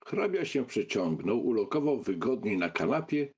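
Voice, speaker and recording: Husky, animated male audiobook narrator. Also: on the low side, normal speed. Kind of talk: expressive scripted literary narration.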